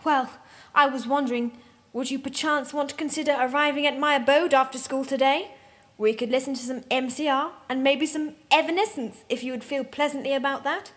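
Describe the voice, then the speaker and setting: A woman's voice: high-pitched, fairly even intonation, fairly quick, lightly acted. A woman voicing a suave teenage male vampire heartthrob with a stilted, over-polite, theatrical manner. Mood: suave politeness.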